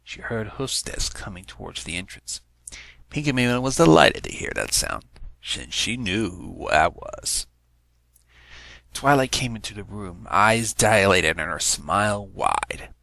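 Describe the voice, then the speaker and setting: A man's voice: on the low side, big pitch swings, unhurried, gravelly, sharp tone, no room sound. A man reading fan fiction aloud as a scripted story narration.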